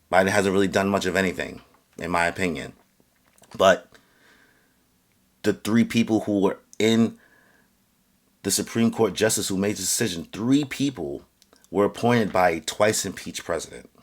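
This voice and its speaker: Deep-voiced man ranting earnestly. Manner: earnest, halting, many fillers and restarts; grows louder and higher when heated. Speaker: vlogger. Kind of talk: casual opinion rant to camera.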